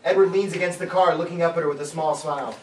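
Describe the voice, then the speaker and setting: A man's voice: on the high side, quick pace. A man narrating stage directions in a comic dramatic script reading. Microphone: camera microphone from a distance. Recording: echoey room, distant mic, crowd chatter.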